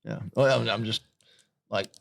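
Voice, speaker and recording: Gravelly low farmer. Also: male voice, steady tone, normal speed, a bit bright. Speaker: podcast co-host, farmer. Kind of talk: casual chat.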